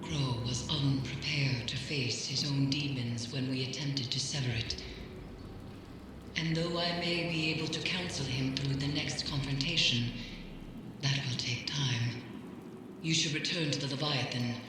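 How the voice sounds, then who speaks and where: An actress playing a solemn, cryptic mystic in a game, advising a warrior in a measured, grave, formal way. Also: female voice, a bass voice, fairly even intonation, noisy background. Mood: grave warning. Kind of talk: dramatic scripted game dialogue. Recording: cavernous long reverb, loud background ambience.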